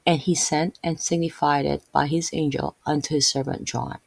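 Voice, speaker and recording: A woman's voice: low-pitched, steady tone, normal speed, creaky, no echo. A woman from the Philippines with a Filipino accent, reading Bible verses and explaining them in a casual, rambling way full of fillers and restarts. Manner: rambling, many fillers and self-corrections. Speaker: Bible video host.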